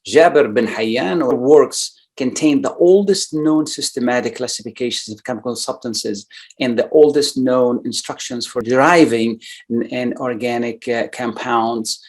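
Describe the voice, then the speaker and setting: A male radio host with a mid-range voice who talks in long, rambling runs. He fills pauses with "uh" and repeats his words as he goes. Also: medium pitch. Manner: wordy, repeats phrases, many fillers. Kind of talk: radio interview.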